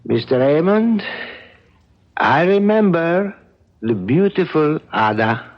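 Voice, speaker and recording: Courtly obsessed sculptor, muffled old radio. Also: male voice, fairly high pitch, big pitch swings, slow. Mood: wistful, obsessed. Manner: formal, elaborate, hesitant politeness. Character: obsessed, courtly sculptor, older than his listener. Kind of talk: radio mystery drama acting. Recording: dull lo-fi old radio broadcast.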